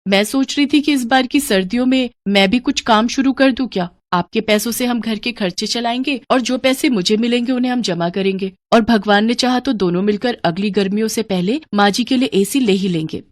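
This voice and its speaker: A woman voicing a gentle, devoted young wife in a dramatised moral story, earnest, soothing and respectful. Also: on the high side, fairly quick, few pauses, no room sound, close to an everyday voice.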